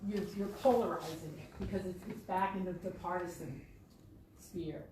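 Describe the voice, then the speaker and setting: A woman in the audience, making a measured, thoughtful comment at a meeting. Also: on the low side, fairly even intonation. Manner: measured, thoughtful, some hesitation. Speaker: audience member. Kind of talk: comment from the floor. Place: meeting room at a conference fringe event. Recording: very echoey room, distant, noisy.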